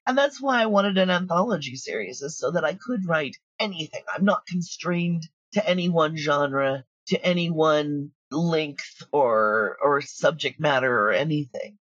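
A woman talking casually in an interview as a writer and creator, telling her stories in loose, animated, broken sentences. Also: low voice, measured pace, few pauses, dry recording, muffled recording. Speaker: audio drama creator and writer.

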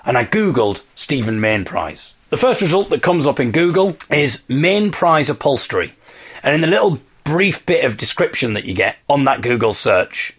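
Guy podcasting, bit husky, really lively up and down delivery, chatty storytelling, emphatic and keen. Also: medium pitch. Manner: enthusiastic, emphatic, with dramatic repetition. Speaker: podcast host. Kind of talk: conversational storytelling monologue.

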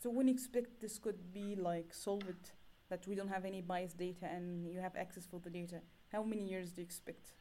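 A woman's voice: lowish voice, steady tone, brisk, few pauses. A woman interviewing a guest, asking long loosely phrased questions and giving frequent murmured agreement. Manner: frequent soft backchannels, rambling questions.

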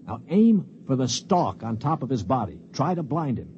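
An actor playing a cynical, commanding space prisoner who also narrates, in a 1950s radio drama. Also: male voice, medium pitch, big pitch swings, moderate pace, darkish tone, slight background noise, lightly acted. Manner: brusque, commanding. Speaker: narrator and lead. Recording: dull old radio broadcast.

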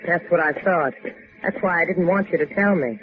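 A woman's voice: lowish voice, moderate pace, close to an everyday voice. An actress playing a worldly, dry, teasing woman in a 1950s radio drama, with a wry, pointed manner. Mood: cool, probing. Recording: narrow-band old 1950s radio recording, hissy.

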